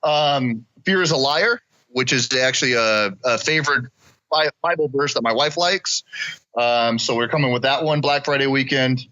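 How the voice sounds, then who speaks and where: A man's voice: medium pitch, normal speed, pushed and bright, no room sound. A man from Missouri, a podcast guest, chatting loosely about his products with casual swearing. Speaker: guest, business owner. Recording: dull dry lo-fi sound.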